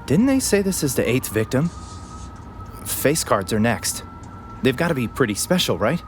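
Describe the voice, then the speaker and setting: An actor playing an eager, earnest paramedic obsessed with crime theories in an audio drama. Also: male voice, mid-range voice, sing-song, moderate pace, close to an everyday voice. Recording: clear vehicle and siren noise behind. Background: ambulance and siren sound effects.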